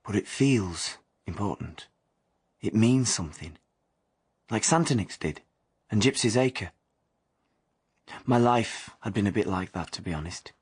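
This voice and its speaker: Young working-class drifter, low husky voice, wry confessional radio-drama narrator. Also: male voice, animated, measured pace, pauses often, darkish tone, heavily acted. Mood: reflective. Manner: colloquial, non-standard grammar.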